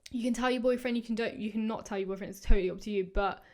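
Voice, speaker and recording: Young woman, podcast host, casual advice, lots of fillers, hoarse voice. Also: a little high, steady tone, very fast, darkish tone. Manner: chatty, rambling, many fillers. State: hoarse, voice going. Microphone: podcast microphone.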